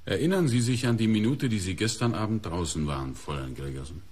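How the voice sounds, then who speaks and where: An actor playing a shrewd, methodical police inspector in a German radio crime drama, with a husky, deliberate delivery. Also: male voice, lowish voice, expressive, darkish tone. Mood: pointed, controlled.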